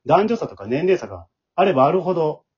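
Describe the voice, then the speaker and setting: A husky-voiced man with a Kansai accent chats as a podcast host. He talks quickly with lively swings in pitch, explaining things conversationally. The audio is a little dull and lo-fi. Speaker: amateur theatre director and podcast host. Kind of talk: casual podcast chat.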